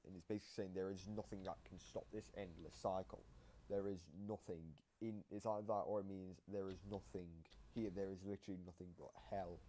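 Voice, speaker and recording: A man's voice: deep voice, dark tone, slight background noise, poor audio. A man giving casual analytical commentary, explaining and interpreting as he goes. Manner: explanatory, interpreting lines aloud.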